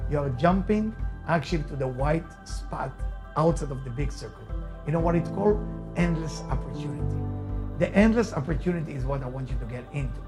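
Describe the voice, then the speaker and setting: A man's voice: on the high side, lively intonation, a little fast, over music. Urging Israeli man, motivational talk. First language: Hebrew. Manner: emphatic, repetitive, urging. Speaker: motivational speaker.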